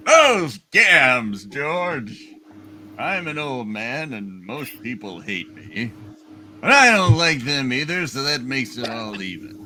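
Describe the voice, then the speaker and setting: A man puts on a pompous old tycoon for a radio play. He is deliberate and wheedling, with a bright, forceful edge and lively pitch, over noticeable background noise. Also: full character voice. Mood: scheming. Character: Mister Potter, scheming old male small-town tycoon. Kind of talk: hammed-up live radio play reading.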